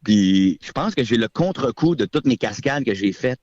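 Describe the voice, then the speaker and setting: A man's voice: medium pitch, lively intonation, normal speed, darkish tone, no room sound, highs cut off. Québécois man in his forties joking around. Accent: Québécois French. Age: 40s. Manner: playful, self-mocking storyteller. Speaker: guest comedian. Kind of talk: casual joking chat.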